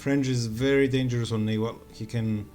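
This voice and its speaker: A man's voice: mid-range voice, steady tone, normal speed, darkish tone. A man streams a strategy game and thinks aloud as he plays. He jokes with his chat in a loose, casual way. Faint game music hums underneath. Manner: joking, thinking aloud while playing. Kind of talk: live gameplay commentary.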